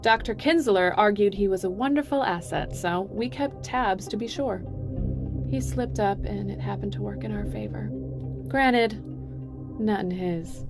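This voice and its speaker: An actress playing a cool, calculating corporate boss in an audio drama, speaking with smooth authority and condescension. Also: female voice, mid-range voice, measured pace, over music, lightly acted. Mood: assured, condescending.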